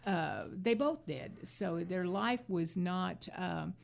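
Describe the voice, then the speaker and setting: Female talk host, lowish creaky voice, conversational commentary with halting, repeated phrasing.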